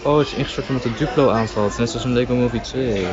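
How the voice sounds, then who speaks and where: A man's voice: mid-range voice, fast, muffled recording. A man chatting casually on a vlog in an understated, wry way.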